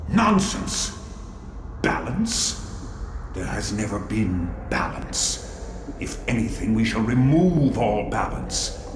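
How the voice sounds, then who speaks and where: An actor playing a cold, arrogant ancient god who speaks in haughty, grandiose pronouncements in a video game cutscene. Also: male voice, on the low side, very animated, slow, noisy background. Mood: scornful. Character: cold, imperious ancient dark god, arrogant master of servants. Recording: echoing voice over music.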